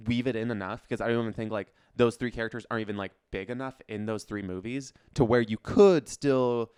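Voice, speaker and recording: A man's voice: medium pitch, fairly even intonation, brisk, darkish tone, clean tone, no echo, studio quality. A man chatting casually on a podcast in loose, very informal phrasing. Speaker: podcast co-host. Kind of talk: casual chat about pop culture.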